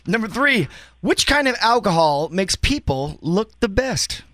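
A man's voice: sits high, big pitch swings, measured pace, a bit bright, no room sound. A man hosting a casual radio game, reading out quiz questions. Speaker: radio show host, quizmaster. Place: dry radio studio.

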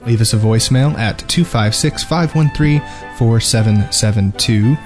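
A man's voice: medium pitch, normal speed. A man with a mid-range voice chats casually as a podcast co-host. His delivery is loose and a bit hesitant, with faint music present.

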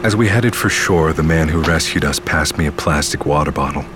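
A man's voice: a bass voice, brisk, background noise. An actor playing a weary male crash survivor, narrating his story in a first-person audio drama. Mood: somber, reflective. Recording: loud boat motor and water behind. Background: boat engine and sea ambience.

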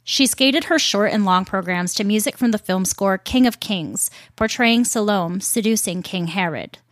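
A woman's voice: medium pitch, normal speed, dry recording. An American woman hosting a podcast, reading scripted narration in an informal, chatty way with wry asides. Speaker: podcast host.

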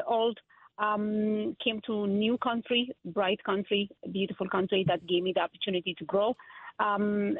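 A woman in her thirties, born in Ethiopia and raised in Israel, answering interview questions as a political candidate, with halting speech full of fillers and restarts. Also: medium pitch, dry recording. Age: 30s. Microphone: telephone. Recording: tinny phone line.

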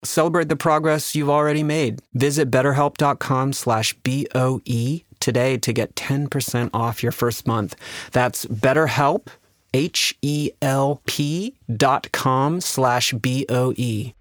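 A man hosting a podcast talks through a sponsor message in a personal, conversational way. His voice is medium in pitch, recorded dry with no room around it. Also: fairly even intonation, unhurried, dry recording. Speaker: podcast creator and host reading sponsor.

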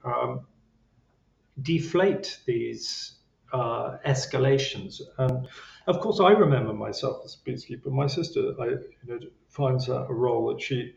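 A man's voice: medium pitch, gravelly. Man, from Switzerland, hesitant rambling speech, roomy muffled recording. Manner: hesitant, rambling, many fillers. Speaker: guest psychotherapist. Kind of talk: interview conversation. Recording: dull lo-fi roomy sound.

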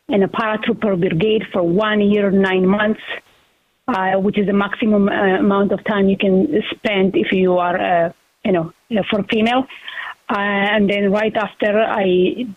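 Woman in her forties, from Ethiopia, earnest and halting, phone-line sound. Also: mid-range voice, no room sound. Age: 40s. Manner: earnest, halting with many fillers. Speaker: guest, congressional candidate. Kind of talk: phone interview on talk radio.

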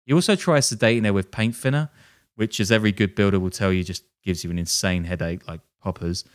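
A man's voice: lowish voice, quick pace. A man hosting a podcast, telling a crime story casually with jokey, sardonic asides. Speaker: podcast host.

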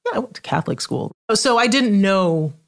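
A middle-aged woman from Trinidad whose speech carries a careful, studied American accent. She sounds polished and measured, and she laughs easily as she chats with a friend on a podcast. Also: mid-range voice, lively intonation, vocal fry. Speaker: guest author. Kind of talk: casual podcast conversation.

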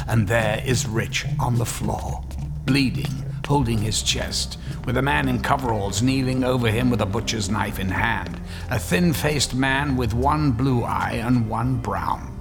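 A man narrating an audio drama in a scripted, dramatic storytelling style. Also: mid-range voice.

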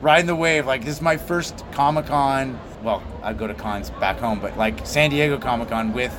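A man from Belgium answers interview questions in a relaxed, rambling, storytelling manner. His voice is mid-pitched, and clear background noise from a busy convention floor surrounds him. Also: moderate pace. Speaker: comic writer guest.

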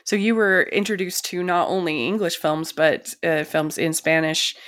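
A woman's voice: lowish voice, normal speed, a bit bright. A woman hosting a film critics podcast, chatting eagerly with her guest and rambling through her stories with many restarts. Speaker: podcast host. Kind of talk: casual interview chat.

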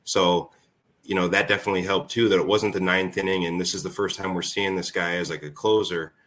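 Male ex-athlete, American, low voice with very flat intonation, casual recollection. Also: brisk. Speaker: former college baseball player, guest. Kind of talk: casual reminiscing.

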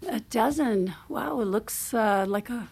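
A woman's voice: a little high, a little mellow, slightly rough. An actress playing a reserved, awkward married woman, hesitant and earnest, in a naturalistic audio drama. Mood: awkward, nervous. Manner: hesitant, halting.

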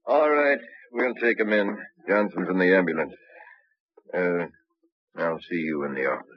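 An actor playing an authoritative American police chief giving terse orders in a 1950s radio crime drama. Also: male voice, low-pitched, moderate pace, slight background noise, natural voice. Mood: urgent, terse. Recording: dull vintage radio recording, faint music.

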